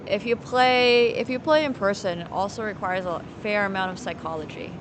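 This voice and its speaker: Woman chatting over clear rumbling noise. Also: brisk. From Finland. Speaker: live streamer. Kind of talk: casual chat while playing poker, answering viewers.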